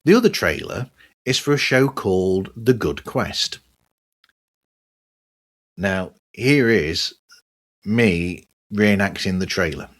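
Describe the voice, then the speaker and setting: Low, husky-voiced man hosting a podcast. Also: expressive, takes it slowly, long pauses, fairly bright tone. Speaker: podcast host. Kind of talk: podcast monologue, part scripted, reviewing other shows.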